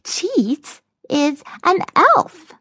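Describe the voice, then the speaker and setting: A woman voicing a small, boastful, excitable male elf in a children's storybook, given to exclaiming. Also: very high-pitched, extremely slow, dry recording, clean studio sound, pitched above the performer's own voice, exaggerated voice. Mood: curt, proud. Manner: talks of himself in third person, exclaiming.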